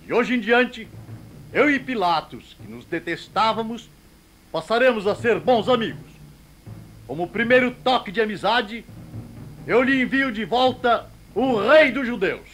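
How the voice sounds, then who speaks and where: A man's voice: high-pitched, expressive, measured pace, fairly bright tone, a little hiss or hum, low-quality recording. An actor playing a haughty, sardonic king in a biblical drama, speaking with theatrical mockery. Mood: sardonic, amused. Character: mocking, haughty Judean king toying with a prisoner. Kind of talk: biblical audio drama acting.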